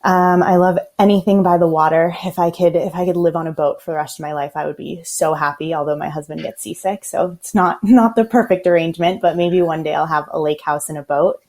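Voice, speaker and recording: An American woman from Los Angeles speaks in a notably low register. She talks fast and intensely, with a sarcastic streak, chatting casually as a guest on a remote podcast call. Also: steady tone, a little mellow. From Los Angeles, USA. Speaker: podcast guest, website designer. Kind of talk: casual interview chat.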